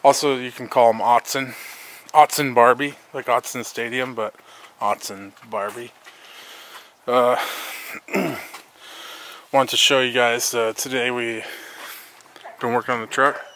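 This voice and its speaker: A man's voice: medium pitch, fairly even intonation, unhurried, pauses often, bright, forceful tone. An American man giving an informal, laid-back walk-through for a casual vlog, recorded handheld outdoors. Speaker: vlogger, mobile welder.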